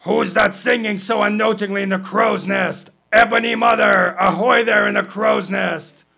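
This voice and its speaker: A man voicing a blustering, exasperated male pirate captain who shouts his lines. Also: high voice, brisk, vocal fry, breathy edge, pitched above the performer's own voice, exaggerated voice. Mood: shouting.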